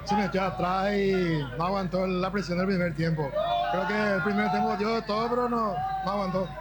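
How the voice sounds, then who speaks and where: A man from Paraguay, a football fan, calmly analysing the match. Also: sits high, moderate pace. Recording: loud outdoor noise.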